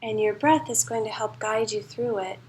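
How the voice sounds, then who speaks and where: A woman leading a guided meditation as a teacher, speaking in a soothing, unhurried and reassuring way. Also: mid-range voice, a little mellow. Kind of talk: guided meditation, spoken live to a class.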